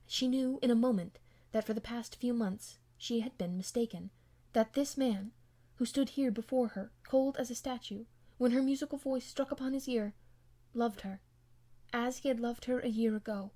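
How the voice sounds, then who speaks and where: Amateur audiobook narrator, woman, fairly high-pitched, scripted storytelling of period fiction. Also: normal speed, dark tone.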